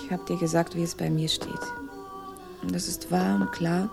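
A woman's voice: lowish voice, flat delivery, moderate pace, vocal fry, music in the background. An actress playing a firm, wounded young woman in love in a 1960s radio crime drama, direct and resolute. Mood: resolute, hurt.

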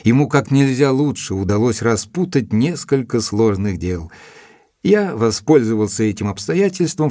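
Casting: detective's loyal companion, lowish voice, dry close studio sound, measured audio drama storytelling. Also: male voice, a little mellow, no room sound. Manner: measured, reflective. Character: detective's loyal male companion, calm reflective narrator of memoirs. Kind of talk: audio drama, scripted first-person narration.

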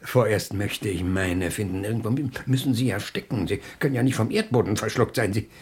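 An actor playing a fussy, muddled old hotel lodger who stammers and repeats himself in a husky voice, in a German radio play. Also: male voice, fairly low pitch, a little fast, a little mellow. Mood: flustered, cheerful.

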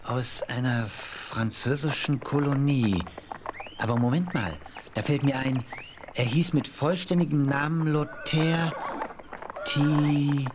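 A man's voice: mid-range voice, background noise. An actor playing a frontier lawman in a 1970s radio play, thoughtful and remembering an old case aloud.

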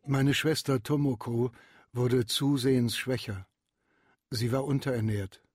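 Husky, sorrowful narrator. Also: male voice, medium pitch, steady tone, unhurried, darkish tone. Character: sorrowful brother recalling his postwar childhood. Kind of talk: radio drama first-person narration.

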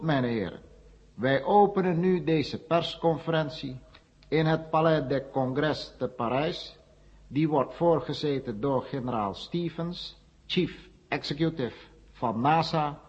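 A man playing a solemn official who makes a formal, deliberate public address in a Dutch radio play. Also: on the high side, close to an everyday voice. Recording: muffled 1970 radio recording, echoing hall.

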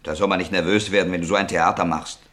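Ein Schauspieler spielt in einem deutschen Hörspiel einen nervösen, verlassenen Mann, der ungeduldig und kurz angebunden eine Waffe kaufen will. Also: male voice, sits low, steady tone, fast talker, a bit bright. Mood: irritated. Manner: curt, evasive, irritable. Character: tense, jilted man impatient to buy a revolver. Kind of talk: German radio play acting.

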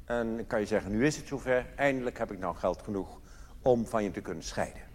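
A man's voice: lowish voice, fast talker, a little mellow. A man from the Netherlands performing live stand-up cabaret, telling anecdotes in a theatrical, mock-solemn manner full of rhetorical questions. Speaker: cabaret comedian. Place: theatre stage in a large hall. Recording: reverberant hall live recording with noise.

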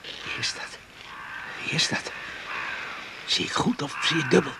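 An actor playing a middle-aged, dogged and fatherly doctor in a radio drama, intense and gravelly. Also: a little high, a little slow. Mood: incredulous. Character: dogged, vengeful older male doctor, fatherly underneath. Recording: noisy old radio play recording.

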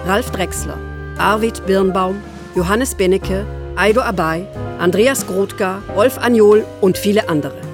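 Female credits announcer, formal even read, music clearly behind. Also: medium pitch, measured pace. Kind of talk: scripted announcement.

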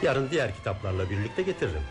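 A man in a radio drama playing a calm, inquisitive middle-aged detective with a measured, polite questioning manner. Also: mid-range voice, close to an everyday voice. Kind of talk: 1970s radio drama acting. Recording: radio drama with music behind.

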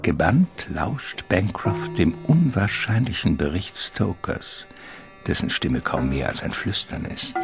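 A man narrates a literary radio drama over prominent music. His voice is very low with a creaky, gravelly grain, and the delivery is that of a scripted storyteller.

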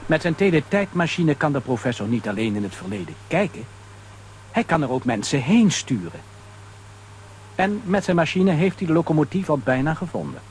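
A man narrating a children's audio drama as an animated storyteller. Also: on the high side, moderate pace, a little hiss or hum.

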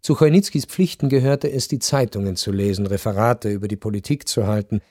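Radio drama narrator: male actor, dark mellow tone, measured, melancholy and resigned, close dry sound over music. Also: fairly low pitch, no echo, lightly acted. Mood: wistful, resigned. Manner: measured, reflective. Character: melancholy first-person narrator, resigned Viennese gentleman.

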